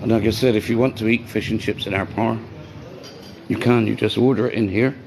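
Chatty hoarse man vlogging on a noisy street. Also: fairly low pitch, fairly even intonation, brisk. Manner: chatty, rambling, greets passers-by. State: voice getting hoarse. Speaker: bar worker vlogging his day. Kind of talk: casual walk-and-talk vlog. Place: outdoors on streets by a seafront. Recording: street noise behind the voice.

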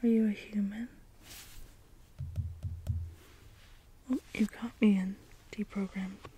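A woman playing a gentle, naive, literal-minded robot caretaker in an ASMR role play, in a breathy whisper with halting phrases. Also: medium pitch, steady tone, a little hiss or hum, lightly acted. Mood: curious, puzzled. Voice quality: breathy whisper, mostly unvoiced. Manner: slow, halting, measured phrases, literal and matter-of-fact. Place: indoors, small room, close in front of backdrop. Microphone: close ASMR microphone.

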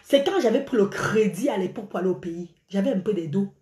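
A woman's voice: medium pitch, fast, roomy sound. A middle-aged woman, ranting animatedly to her followers on a live phone stream from a small room. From Cameroon. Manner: animated and exclaiming, gesturing a lot. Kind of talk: live video rant to followers. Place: small indoor room by a curtain. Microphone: phone at arm's length.

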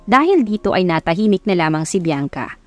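A woman's voice: fairly low pitch, very animated, a little fast, faint music behind. A woman with a Filipino accent reading scripted narration for a romance audiobook. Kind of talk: scripted narration of a Tagalog love story.

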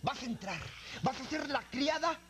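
A man doing a smug, newly rich TV network boss with a Mexican accent, sneering and bossy as he hands out insults. His voice sits very high for a man, in a broad sketch-comedy delivery. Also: lively intonation, moderate pace, heavy background noise. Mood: scornful.

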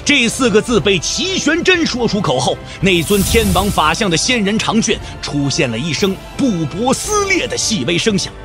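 A man's voice: sits high, wildly swooping pitch, moderate pace, hardly any pauses, music in the background. A man giving dramatic scripted narration for an audio drama, theatrical and emphatic.

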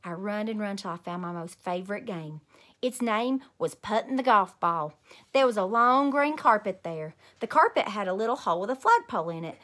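A woman in her thirties reading a children's story aloud in an animated, emphatic way. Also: medium pitch, normal speed. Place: small room at home.

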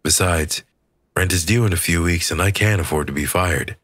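A man's voice: low voice, moderate pace, a bit bright, dry recording. A man voicing an easygoing, laconic young man in an audiobook, in short plain replies. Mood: reassuring.

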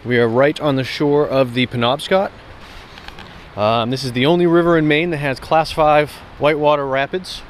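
A man's voice: on the high side, steady tone, moderate pace, a little hiss or hum. A man in his thirties casually narrating a vlog to an action camera held at arm's length outdoors. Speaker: vlogger, hike narrator. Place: outdoors in woods, on trails and riverbank.

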